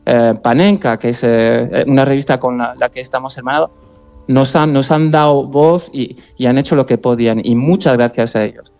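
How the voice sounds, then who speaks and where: Halting man with a mellow voice. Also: mid-range voice, fairly even intonation, lo-fi sound. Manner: halting, searching for words. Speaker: guest journalist. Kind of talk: phone-in interview.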